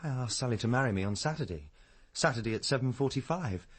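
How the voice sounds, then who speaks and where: An actor playing a self-possessed young doctor of good family, clipped and matter-of-fact, in a radio drama. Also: male voice, mid-range voice, lively intonation, quick pace, breathy edge. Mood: grimly matter-of-fact.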